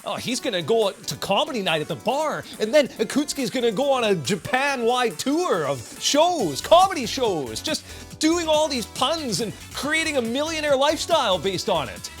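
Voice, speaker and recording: Male co-commentator, high voice, lively inflection, casual game talk over faint music. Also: normal speed.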